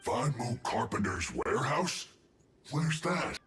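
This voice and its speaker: Hapless soldier, wildly varied pitch. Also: male voice, big pitch swings, a bit bright, reverberant, faint music behind. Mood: aggrieved, sheepish. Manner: halting, protesting. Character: hapless male enemy soldier, defensive and aggrieved. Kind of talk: anime game voice acting.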